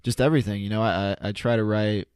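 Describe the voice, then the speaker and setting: A man in his twenties answering casually as a podcast guest, halting and self-effacing, often repeating his words. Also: lowish voice, fairly even intonation, darkish tone, smooth, dry recording, clean studio sound. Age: 20s. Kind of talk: casual, unscripted interview answers. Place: converted garage home studio.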